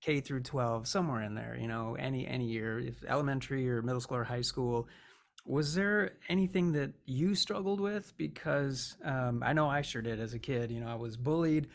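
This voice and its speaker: A man's voice: mid-range voice, normal speed, creaky. Upbeat guy hosting a video call interview, super encouraging, lots of "that's awesome". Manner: enthusiastic, encouraging. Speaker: interview host.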